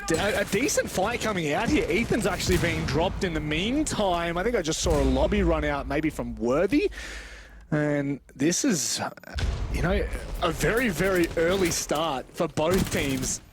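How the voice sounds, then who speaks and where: A man doing live play-by-play esports commentary in an expressive, exclamatory way with joking asides. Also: fairly high pitch, moderate pace, music in the background.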